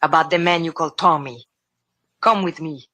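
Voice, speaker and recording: A woman's voice: deep voice, moderate pace, bright, forceful tone. An actress playing a jealous, dangerous foreign barroom woman who taunts in broken English, in old radio drama style. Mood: cold, menacing. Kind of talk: 1950s radio drama acting.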